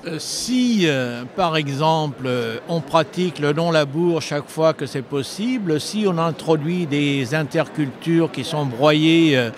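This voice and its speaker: An older man, a retired journalist, answering interview questions in a measured, explanatory way with frequent hesitations, at a book fair stand into a handheld mic. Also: fairly high pitch. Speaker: retired agricultural journalist, author. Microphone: handheld mic held by interviewer. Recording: noisy crowded hall. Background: crowd chatter.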